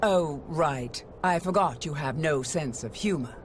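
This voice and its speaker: A woman playing a proud, sardonic swordswoman in a video game, with a cool, mocking and deliberate delivery. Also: deep voice, slight background noise. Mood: cool, taunting. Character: haughty, sardonic female swordswoman, proud and wary. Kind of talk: dramatic video game character acting.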